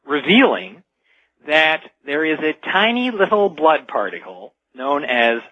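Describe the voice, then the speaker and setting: A man's voice: fairly high pitch, big pitch swings, measured pace, dry recording. A man speaking as a guest expert in a radio interview, explaining in an emphatic storytelling way with rhetorical build-ups, over dull lo-fi sound.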